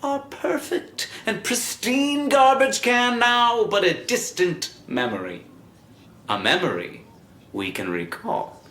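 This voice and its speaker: A man's voice: sits high, lively intonation, slow pace, bright, forceful tone, exaggerated voice. An actor playing a pompous scientist showman in an audio drama, presenting an invention with theatrical, salesmanlike grandeur. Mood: mock mourning. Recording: roomy, echoing hall sound.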